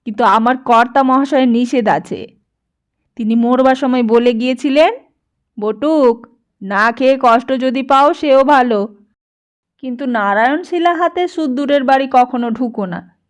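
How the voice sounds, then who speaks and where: A woman's voice: high voice, a little slow. A woman voicing a garrulous, nosy old male village priest in an audiobook, rambling familiarly from question to question. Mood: rambling, gossipy. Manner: rambling, chatty, question after question. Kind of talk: dramatised audiobook dialogue.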